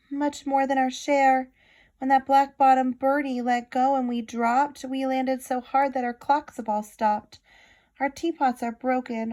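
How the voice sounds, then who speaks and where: Woman as a tiny, high-voiced plaintive mayor. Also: a little mellow. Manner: plaintive, pleading. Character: tiny, beleaguered male mayor of a speck town.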